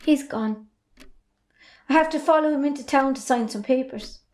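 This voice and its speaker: A woman's voice: high-pitched, normal speed, a little mellow, lightly acted. A young Irish woman acting a defiant, plain-spoken farm daughter in a stage drama. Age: young adult.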